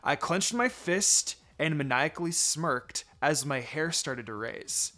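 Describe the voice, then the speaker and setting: Animated higher-pitched man reading a story. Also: takes it slowly. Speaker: fan fiction reader. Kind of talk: expressive first-person story narration.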